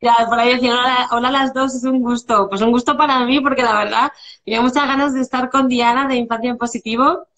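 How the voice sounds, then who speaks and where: A woman's voice: a little high, steady tone, fast talker, a bit bright. A woman in her forties with a Spanish accent, hosting a live video-call interview from home, chatty with many restarts. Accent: Spanish from Spain. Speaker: live stream host. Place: home room, indoors. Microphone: video call webcam.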